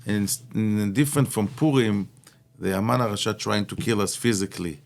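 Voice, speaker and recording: Middle-aged Israeli-born rabbi, gravelly low voice, halting delivery full of fillers. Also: male voice. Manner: hesitant, many fillers, mixes in Hebrew terms. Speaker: rabbi, guest speaker. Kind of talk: Torah talk in conversation.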